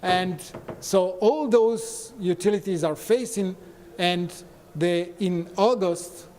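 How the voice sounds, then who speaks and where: A man from Italy with an Italian accent, giving a conference talk in non-native phrasing with frequent pauses and fillers. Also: sits high, a little mellow, in a large hall, a little hiss or hum. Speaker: conference speaker. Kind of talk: conference presentation. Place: conference hall.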